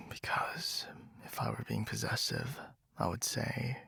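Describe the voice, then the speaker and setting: A young man voicing a jealous, possessive best friend in a whispered roleplay, speaking low and breathy with frequent sighs, close to the microphone. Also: slow, pushed and bright, no room sound, full character voice. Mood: irritated, defensive. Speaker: ASMR roleplay voice actor. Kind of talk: whispered ASMR boyfriend roleplay.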